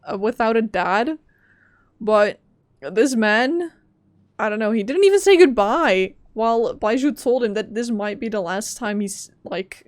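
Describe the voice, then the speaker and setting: A woman's voice: medium pitch, no room sound. Blunt young woman streaming a game. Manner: blunt, flippant, deadpan. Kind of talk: casual gameplay commentary. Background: faint game music.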